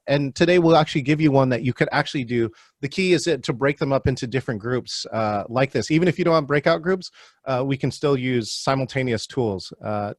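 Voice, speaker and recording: A man's voice: mid-range voice, darkish tone, dry recording, studio quality. Man leading a video-call workshop. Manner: friendly, calls on attendees by name. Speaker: virtual meeting trainer. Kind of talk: hosting an online workshop.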